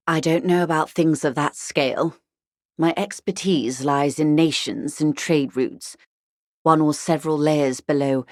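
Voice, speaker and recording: An actress playing a refined, middle-aged, wealthy woman in a video game, speaking in a measured, eloquent, world-weary and wry manner. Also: sits low, darkish tone, no echo, clean studio sound. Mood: reflective. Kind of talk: scripted video game dialogue.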